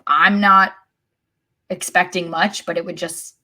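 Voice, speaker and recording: A woman's voice: lowish voice, fairly even intonation, a little slow, bright, forceful tone. A woman co-hosting a soccer podcast, chatting casually in a wry way. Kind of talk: casual sports chat.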